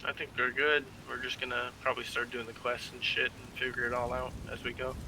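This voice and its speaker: A man's voice: medium pitch, level tone, a little fast. A man playing an online game, chatting casually in short, agreeable, easygoing replies over voice chat. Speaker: player in online roleplay game. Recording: noisy, distant in-game voice chat.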